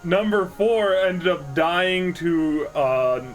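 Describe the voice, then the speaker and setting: A young man chatting casually while playing a video game, low-key and somewhat monotone. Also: drawn-out words, faint music behind. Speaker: gamer. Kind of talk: casual let's-play chat.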